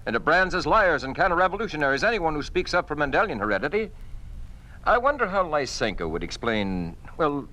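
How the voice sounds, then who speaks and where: An actor playing an earnest, principled scientist, emphatic and easily indignant, in a 1950s radio drama. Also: male voice, fairly high pitch, big pitch swings, brisk, low-quality recording. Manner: forceful, emphatic.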